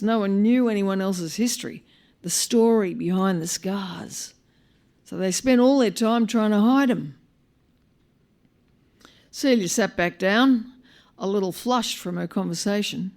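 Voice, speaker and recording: Expressive Australian woman reading a story. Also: medium pitch. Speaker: actor reading a short story. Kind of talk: live literary story reading. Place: live on stage at a small venue.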